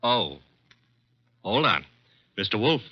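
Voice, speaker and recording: An actor playing a brash, flippant young private-eye assistant in 1940s radio style. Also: male voice, fairly low pitch, very animated, slow, full character voice. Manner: flippant, wry. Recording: dull vintage radio recording.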